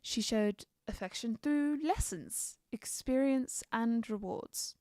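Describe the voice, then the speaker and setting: A woman's voice: on the high side, lively intonation, slow, a little mellow. A woman playing a battle-hardened, guarded, bloodthirsty Nord warrior in a video game, confiding in dramatic dialogue. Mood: wistful. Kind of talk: scripted game character dialogue.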